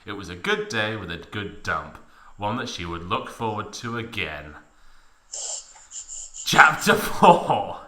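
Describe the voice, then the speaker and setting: A man's voice: lowish voice, lively intonation, slow, pauses often, bright, forceful tone. A man giving a mock dramatic reading of fan fiction, with theatrical, exaggerated emphasis.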